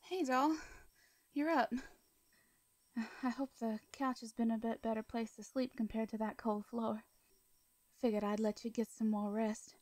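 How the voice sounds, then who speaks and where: A woman playing a caring, protective young female farmer in a roleplay audio drama, with a Southern US accent and a soft, hesitant, folksy way of talking. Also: a little high, fairly even intonation, a little slow, long pauses, no room sound, close to an everyday voice. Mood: warm, easygoing.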